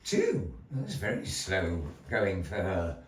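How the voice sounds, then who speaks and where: A man's voice: sits low, wildly swooping pitch, slow pace, distant mic, a little hiss or hum. A man hosting a puppet show before a live audience, with showy, grandly polite, playful stage patter. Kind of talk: theatrical stage patter with a puppet fortune-teller. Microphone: distant room mic. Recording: echoey room heard from afar.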